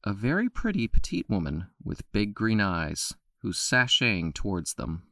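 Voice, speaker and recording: A man narrating an audio drama in a steady storytelling voice. His pitch is on the low side and his tone is bright. The recording is close and dry. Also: unhurried, vocal fry, dry recording. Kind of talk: scripted narration.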